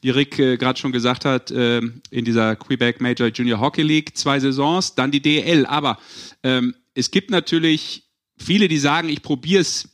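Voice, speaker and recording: Male sports interviewer, mid-range voice, casual, with loose and halting phrasing. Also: mid-range voice, fairly bright tone. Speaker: sports show co-host.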